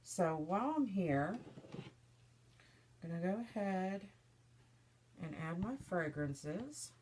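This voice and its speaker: A woman making soap, chatting through each step in a casual demonstration, her voice slightly stuffy and hoarse from a cold. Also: fairly low pitch, animated, slow, darkish tone, a little hiss or hum. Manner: chatty, narrating each step as she works.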